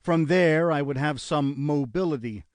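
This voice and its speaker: A man narrating an audiobook in a measured, earnest reading style, close to a studio mic. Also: on the high side, darkish tone, no room sound. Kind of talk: scripted narration.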